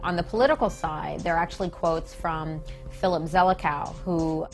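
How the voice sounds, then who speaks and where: American female interviewee, slightly deep voice, pointed and emphatic political speaker, faint music underneath. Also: fairly even intonation, normal speed. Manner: emphatic, uses rhetorical questions. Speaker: interviewee, peace activist speaker.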